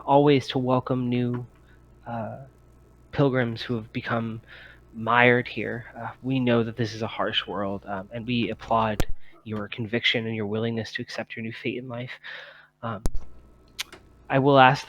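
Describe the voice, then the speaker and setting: A man's voice: mid-range voice, dull audio, lightly acted. A man voicing an eagerly welcoming, formal cult leader in a tabletop game.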